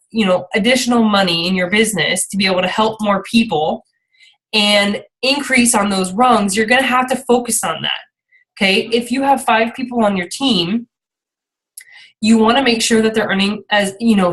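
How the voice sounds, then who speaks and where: A woman's voice: mid-range voice, a bit bright, rough recording. A woman leading an informal motivational team training call, rambling a little and often checking in with her listeners as she encourages them. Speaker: team leader and coach hosting a call.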